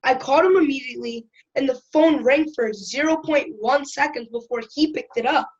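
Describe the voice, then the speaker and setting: A woman's voice: sits high, moderate pace, lo-fi sound, natural voice. A woman playing a cheeky, chatty schoolboy who tells his story in a wry, conversational way. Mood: chatty, amused. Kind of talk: first-person storytelling in audio drama.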